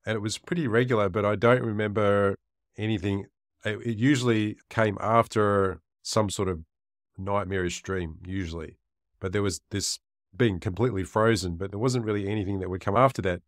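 A man's voice: lowish voice, fairly even intonation, moderate pace, dry recording, clean studio sound. A man hosting a podcast interview, friendly and inquisitive. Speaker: podcast host.

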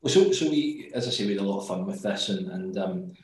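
A man's voice: low-pitched, animated, quick pace, a little hiss or hum. A man with a Glasgow accent, speaking as an interview guest, thoughtful and often restarting his words. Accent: Glaswegian. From Scotland. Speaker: guest, company executive. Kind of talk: remote interview. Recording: very echoey room.

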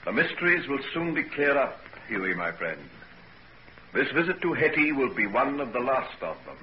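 A man acting an earnest, secretive young gentleman in a 1940s radio drama. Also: medium pitch, big pitch swings, normal speed, dull audio, lightly acted. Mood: earnest, assured. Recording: noisy old 1940s radio recording.

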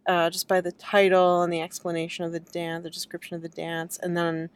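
A woman's voice: fairly low pitch, completely flat delivery, fairly quick, clean tone. A woman who is a dance critic, a guest on a podcast recorded in a parked car, talking in a chatty way with many fillers. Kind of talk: casual conversation.

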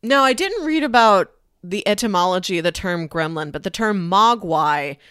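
A woman's voice: medium pitch, expressive, normal speed, a bit bright, clean tone, dry recording, studio quality. A woman co-hosting a podcast in casual chat, explaining things informally. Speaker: podcast co-host.

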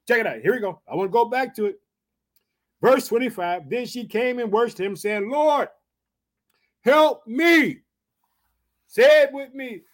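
An American man preaching a fervent sermon in colloquial, folksy language, emphatic and repetitive, punctuating it with exclamations and direct appeals to his listeners. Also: sits high.

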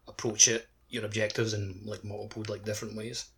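A man's voice: on the low side, level tone, fairly quick, fairly bright tone. A man co-hosting a casual podcast, hesitant and peppering his speech with filler words. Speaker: podcast co-host. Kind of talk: casual chat.

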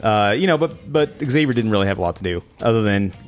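A man's voice: lowish voice, expressive, quick pace, faint music behind. A man chatting casually as he co-hosts a film review podcast. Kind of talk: casual chat.

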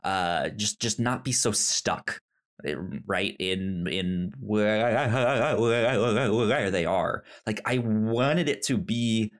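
A man co-hosting a podcast, talking casually and haltingly, often stammering and restarting words. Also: fairly low pitch. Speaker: podcast co-host. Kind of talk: casual chat.

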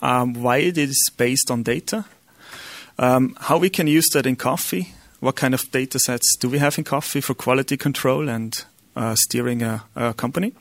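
A man's voice: medium pitch, normal speed, no room sound. A middle-aged man with an Austrian German accent, a non-native speaker giving a conference lecture with many ums and restarts.

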